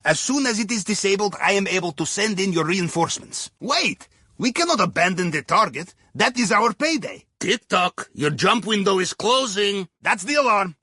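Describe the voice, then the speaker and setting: A playful Russian submarine captain for a video game. The voice sits high for a man and swings widely in pitch, with a husky grain. He guides a crew with wry, theatrical flair in accented English. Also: lively intonation, normal speed, sharp tone, no echo, studio quality, exaggerated voice. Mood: urgent, then calm, confident. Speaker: mission guide over comms.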